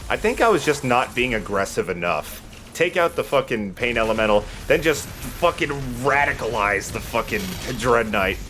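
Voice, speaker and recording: A man's voice: mid-range voice, animated, normal speed, sharp tone, faint music behind. A man chatting casually and jokingly as he commentates on a video game, swearing freely. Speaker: let's play commentator. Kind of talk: casual gaming chat with co-host.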